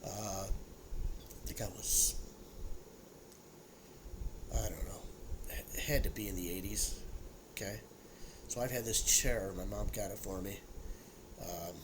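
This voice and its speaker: A man's voice: fairly low pitch, fairly even intonation, slow, pauses often, background noise. A man in his sixties with a congested, sniffly voice, chatting loosely at home about whiskey with lots of fillers and repeated words. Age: 60s. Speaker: whiskey review vlogger. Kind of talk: casual solo tasting chat.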